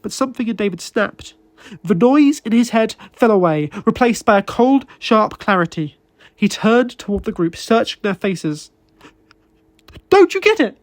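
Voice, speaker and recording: A man reading literary fiction as a narrator, with a deliberate, emotive delivery and dramatic pauses. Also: sits high, animated, no room sound. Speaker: narrator and author reading his own short story. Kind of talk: dramatic scripted audiobook narration.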